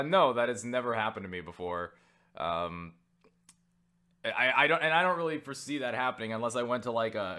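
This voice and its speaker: Lowish-voiced man rambling through viewer questions. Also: sharp tone, clean tone. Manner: rambling, joking and profane; self-deprecating. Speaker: YouTuber answering viewer questions. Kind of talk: casual Q&A vlog.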